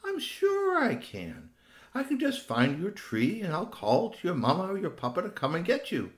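Sly male fox for a storybook, read by a man: smug, slippery, mock-formal, very animated delivery. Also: high voice, echoey room, voice pushed up. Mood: scheming. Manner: mock-formal, drawn-out musing. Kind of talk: acted children's storytelling.

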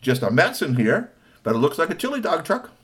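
A man's voice: fairly high pitch, sing-song, brisk, pitched above the performer's own voice. A man voicing a stern, bossy male police officer who barks orders. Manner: loud and commanding.

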